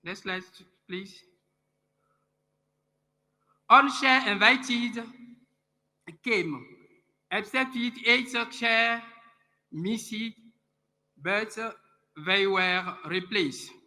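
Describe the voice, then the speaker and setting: Slow, halting high-voiced man in a hall. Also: sharp tone. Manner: halting, many "uh" pauses, calls slides. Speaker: conference rapporteur. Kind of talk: conference report. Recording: reverberant conference hall.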